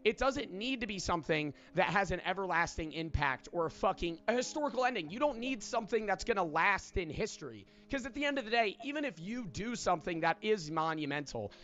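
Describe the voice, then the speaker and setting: A man's voice: high-pitched, lively intonation, fairly quick, few pauses, a bit bright. A man in his twenties giving an emphatic motivational monologue about living for yourself, swearing often. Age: 20s. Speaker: online video creator. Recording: dull lo-fi sound from a played video.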